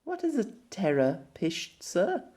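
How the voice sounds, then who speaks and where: Man voicing a high-pitched, indignant young warrior maiden. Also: wildly swooping pitch, slow pace, dark tone, exaggerated voice. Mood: earnest, puzzled. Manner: formal, archaic, theatrical speech.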